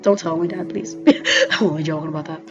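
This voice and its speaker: Woman, rambling gameplay chatter, jumpy and excitable, dull lo-fi mic, faint music behind. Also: low voice, fairly quick. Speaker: gaming commentator. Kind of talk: casual let's-play commentary.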